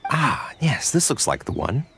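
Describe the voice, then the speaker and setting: An actor playing a polite, discreet ninja in a video game, with a measured, thoughtful manner. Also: male voice, medium pitch, big pitch swings, gravelly, bright, forceful tone, a little hiss or hum, full character voice. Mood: thoughtful, helpful. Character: polite, discreet male member of a ninja organization. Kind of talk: video game character dialogue. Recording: music behind the voice.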